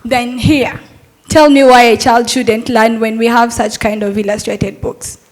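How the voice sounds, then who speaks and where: A woman's voice: fairly high pitch, steady tone, a little slow. A young adult woman, a Montessori teacher, giving an earnest, persuasive talk at a summit and asking rhetorical questions.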